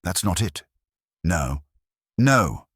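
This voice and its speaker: A man voicing a shaken, bewildered man under police questioning in an audio drama, halting and insistent. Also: low-pitched, sing-song, a bit bright, husky, no room sound, clean studio sound, heavily acted. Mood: alarmed denial.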